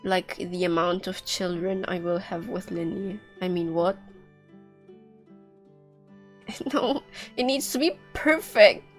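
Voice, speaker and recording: A woman's voice: fairly low pitch, a little slow. A woman with a stuffy nose giving casual, joking and blunt live commentary as she plays a video game. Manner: joking, blunt, reacting aloud. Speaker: game streamer. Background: game music.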